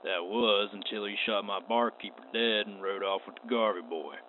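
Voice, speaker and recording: An actor playing a stern, wary space western marshal in a radio play, with a terse, folksy drawl. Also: male voice, fairly low pitch, moderate pace, natural voice. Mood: grim. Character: gruff, wary, stern male frontier marshal. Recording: tinny band-limited audio with clear noise.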